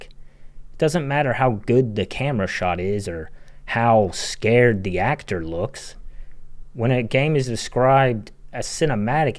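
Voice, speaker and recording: A man's voice: medium pitch, darkish tone. A man giving a critical video game analysis voice-over in a casual, opinionated, emphatic way. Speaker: video essay commentator.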